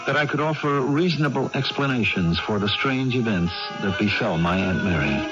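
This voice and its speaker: A man acting in a 1980s radio drama as a devoted nephew, a gentle small-town minister telling his aunt's story in an earnest, measured way. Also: sits low. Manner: earnest, measured storytelling that turns urgent in dialogue. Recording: dull old radio broadcast, loud music.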